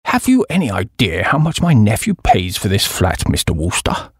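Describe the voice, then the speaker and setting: A man's voice: fairly low pitch, huge pitch swings, moderate pace, breathy edge, dry recording, clean studio sound, pitched below the performer's own voice, heavily acted. A man voicing a stingy old English duke, pompous and aggrieved, with a British accent. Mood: aggrieved, astonished. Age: older.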